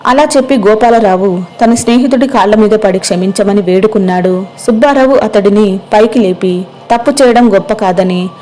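A woman's voice: medium pitch, normal speed, a little mellow, poor audio. A woman storyteller reading scripted narration for a moral tale.

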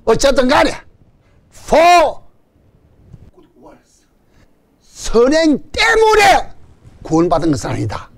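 An older man with a Gyeongsang accent preaching an animated sermon at a stand mic on a church stage, calling for amens and joking with the congregation. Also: very high-pitched, gravelly. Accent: Gyeongsang Korean. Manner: animated preaching with call-and-response and jokes. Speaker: pastor. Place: church stage before a banner. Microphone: stand mic in front.

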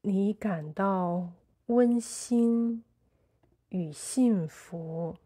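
A woman narrating a guided sleep meditation in a soothing, measured way, in short phrases with pauses. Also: medium pitch, mellow, breathy edge.

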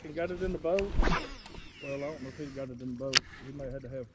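Joking man in a loud boat. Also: mid-range voice, fast talker. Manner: joking, offhand. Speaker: fishing partner and boat helper. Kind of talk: casual chat while fishing. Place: outdoors on a boat on a lake. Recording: loud wind and boat noise, action cam.

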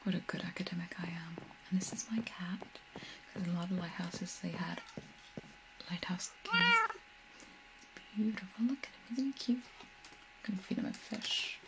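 A young woman giving whispered ASMR commentary over a video game, breathy, soft, gentle and a little hesitant. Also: fairly low pitch, lively intonation, moderate pace, pauses often, background noise. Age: young adult. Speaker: video game streamer and tour guide.

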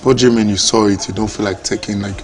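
An actor playing an entitled man demanding to see his son, shouting in speech mixed with Yoruba. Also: lowish voice, fairly even intonation, fast, soft background music, lightly acted. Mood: angry. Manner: mixes Yoruba and English, blustering and aggressive. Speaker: estranged partner, Kunle. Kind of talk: Nollywood drama acting.

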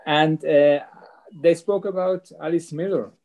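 Casting: middle-aged German-accented man, warm dark voice, easygoing, webcam audio. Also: fairly high pitch, steady tone, unhurried, dull audio. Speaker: film director. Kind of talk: Q&A on a video call. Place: home room with bookshelves. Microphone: laptop webcam mic on video call.

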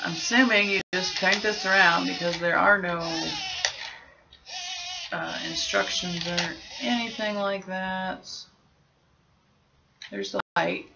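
A woman's voice: mid-range voice, fairly even intonation, takes it slowly, gravelly, long pauses, lo-fi sound, heavy background noise. A young adult woman giving a casual, informal product review, thinking aloud as she goes in a small room at home. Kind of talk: casual unboxing review chat.

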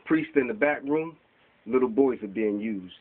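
A man's voice: medium pitch, lively intonation, moderate pace. A man in his forties reading his rhyming spoken word poems aloud at an open mic in a small bookstore, recorded by a camera across the room. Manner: reads from papers, rhythmic rhyming delivery, gestures while reciting. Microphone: far camera. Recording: tinny lo-fi camera audio.

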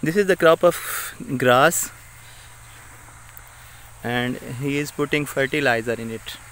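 A young man with a Pakistani accent, a native Saraiki speaker, talks in halting English full of "uh" fillers while filming himself on a phone held at arm's length as he walks outdoors through farm fields. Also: mid-range voice, fairly bright tone, a little hiss or hum, rough recording. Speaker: village vlogger. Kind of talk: casual walking vlog. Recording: faint rural outdoor ambience.

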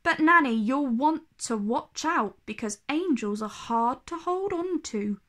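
A woman voicing an anxious, gentle mother in a storybook, with a worried manner. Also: high voice, lively intonation, a little slow. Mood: wistful warning. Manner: worried, sighing, gasping.

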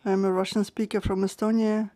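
A woman interpreting a panel discussion live, halting and searching for words with frequent restarts. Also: mid-range voice, level tone, mellow.

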